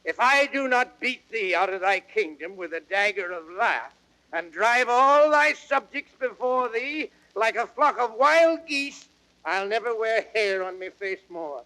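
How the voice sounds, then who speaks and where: A fat old rogue knight blusters and brags in a high voice that swoops widely. The delivery is declamatory, in the manner of an old Shakespearean radio play. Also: male voice, animated, a little slow, pushed and bright, heavily acted, poor audio. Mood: indignant.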